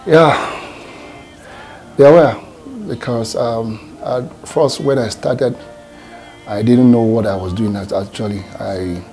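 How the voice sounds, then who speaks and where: A man's voice: medium pitch, slow, pauses often, darkish tone, faint music behind. A Nigerian man, a gospel singer, answering interview questions in a reflective, hesitant way with many fillers and restarts.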